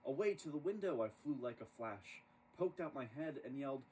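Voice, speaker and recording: A man reading a rhyming children's story aloud in an expressive, dramatic storytelling manner. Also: medium pitch, moderate pace. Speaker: storybook reader. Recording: distant microphone with clear background noise.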